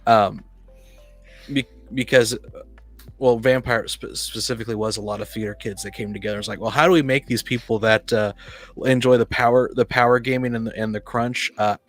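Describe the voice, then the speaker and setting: A man hosting a casual discussion of tabletop games, talking in a halting, rambling way that often repeats words. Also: medium pitch, steady tone, soft background music.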